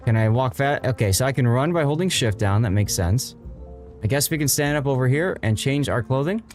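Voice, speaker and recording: A man gives casual live commentary on a video game in a mid-pitched voice. He is easygoing and thinks out loud, with faint guitar music behind him. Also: lively intonation, brisk.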